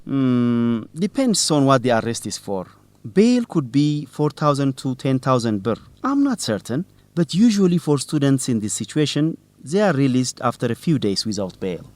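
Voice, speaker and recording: A man's voice: medium pitch, very animated, moderate pace, a little mellow, dry recording, natural voice. An actor playing a young male student newsletter reporter in a radio drama for English learners, earnest, hesitant and careful in his speech. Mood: helpful. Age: young adult.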